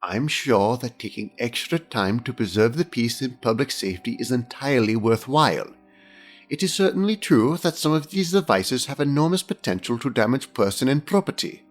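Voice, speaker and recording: Male actor as fussy bureaucratic inspector, mid-pitched, formal, precise, pompous, audio drama, light music underneath. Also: animated, normal speed, natural voice. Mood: measured, reasoning. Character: fastidious, analytical, pedantic male senior inspector.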